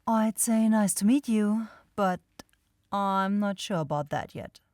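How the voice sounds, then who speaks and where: Woman doing an aloof vampire girl asmr roleplay, slow n teasing, kinda sardonic, totally dry sound no room. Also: medium pitch, darkish tone, dry recording. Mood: coolly teasing. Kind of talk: ASMR roleplay addressed to the listener.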